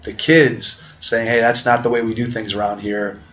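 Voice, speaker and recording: A man's voice: mid-range voice, little pitch movement, moderate pace, a bit bright. A middle-aged man hosting a podcast interview, talking reflectively in long, winding sentences. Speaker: podcast host. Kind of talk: conversational interview. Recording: dull lo-fi audio.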